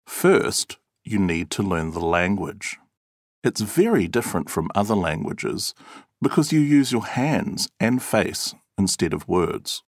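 A man reads interview answers from a script. His low voice moves through a wide, animated pitch range, and the delivery is clear and measured. The recording is dry and close. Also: no room sound. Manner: clear, measured and expressive, acting out example words. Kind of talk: scripted read-aloud.

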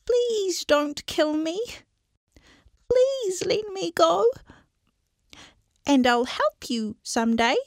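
A tiny male mouse squeaks out his pleas in a very high, quivering voice, as a woman storyteller puts him on. He is frightened yet eager to help, bouncing up and down in pitch. The storybook voice is close and dry. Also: lively intonation, very slow, long pauses, no room sound, heavily acted. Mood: pleading. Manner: pleading, squeaky.

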